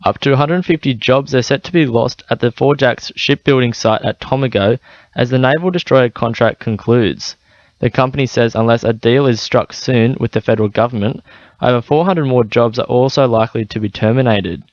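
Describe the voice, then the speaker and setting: A man's voice: medium pitch, fairly quick, no echo, lo-fi sound. Australian newsman, dry studio sound. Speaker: radio newsreader. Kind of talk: scripted news reading.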